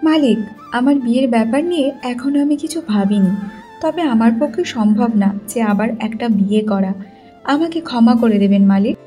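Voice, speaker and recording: Woman acting a high-voiced, wronged young wife. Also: normal speed, mellow, soft background music, natural voice. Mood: hesitant, humble. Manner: pleading, emotional. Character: wronged young housemaid wife, tearful but defiant. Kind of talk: Bengali cartoon story acting.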